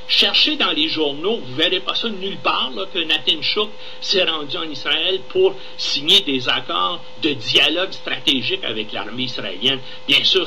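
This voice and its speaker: Really sharp highish-voiced quebec guy ranting politics on the radio, indignant, bit of background noise. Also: poor audio. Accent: Québécois French. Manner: indignant, emphatic. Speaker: radio commentator and guest. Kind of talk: live radio political commentary.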